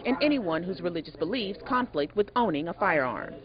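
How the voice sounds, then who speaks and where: A woman's voice: expressive, fairly quick, crowd noise. Woman news reporter, dull audio. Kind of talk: scripted news narration. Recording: dull, muffled low-fidelity audio.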